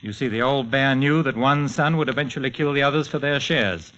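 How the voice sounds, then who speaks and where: An actor playing a formal, secretive government agent in a 1940s radio drama, explaining things in a businesslike way. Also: male voice, mid-range voice, brisk, fairly bright tone, lightly acted. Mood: businesslike, grave. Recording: dull, muffled old radio recording.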